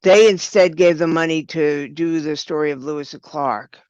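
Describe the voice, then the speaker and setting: An older woman with a low, smooth voice, speaking conversationally as an interview guest about her work. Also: normal speed, a little mellow, no echo, highs cut off. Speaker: guest, writer and director.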